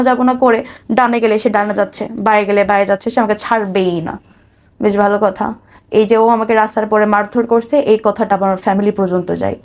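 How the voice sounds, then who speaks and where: A woman's voice: mid-range voice, darkish tone. A woman with a Bangladeshi accent telling her own life story conversationally as an interview guest, acting out what people said.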